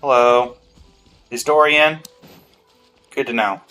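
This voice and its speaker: A streamer comments casually on a video game in a medium-pitched voice. The delivery is playful and wry, with faint game music underneath. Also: slow pace, bright, forceful tone.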